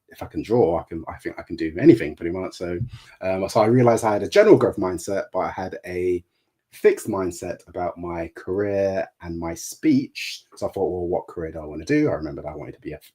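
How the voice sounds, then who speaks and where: A middle-aged therapist and life coach answering interview questions, speaking with a stutter that makes him repeat words and sounds. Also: male voice, deep voice, dark tone, breathy edge.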